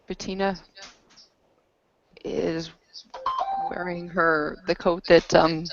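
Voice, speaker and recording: A woman playing a tabletop roleplaying game, narrating her character in a hesitant, halting way. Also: lowish voice, little pitch movement, gravelly, highs cut off, very noisy.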